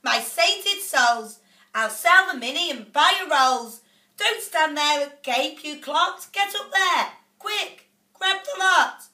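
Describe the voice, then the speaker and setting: A woman voicing a bossy middle-aged mother who scolds in loud outbursts. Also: a little high, slow pace. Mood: shrill. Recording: echoey room, off-mic.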